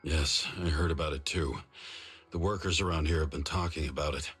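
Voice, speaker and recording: An actor playing a big, calm, easygoing male disciple in a video game, with a deep, husky voice. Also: fairly quick, faint music behind, exaggerated voice. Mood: matter-of-fact.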